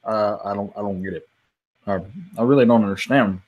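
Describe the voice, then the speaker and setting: A man improvising casually as a player in a tabletop game, with a joking, halting and conversational way of talking. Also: on the low side, lo-fi sound. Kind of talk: casual in-character improv banter.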